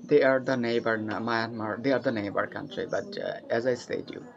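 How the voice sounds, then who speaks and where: A young man from Bangladesh with a Bangladeshi accent, chatting eagerly in English practice, often laughing and pausing with fillers. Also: mid-range voice, fairly even intonation, gravelly, a crowd in the background. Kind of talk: casual conversation practice. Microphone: video call. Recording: dull lo-fi call audio.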